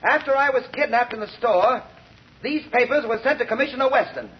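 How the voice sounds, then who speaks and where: A man's voice: very high voice, fairly quick. A man playing a resolute, righteous young prosecutor in an old radio drama, speaking emphatically and declaiming. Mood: triumphant, accusing. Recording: muffled vintage radio recording.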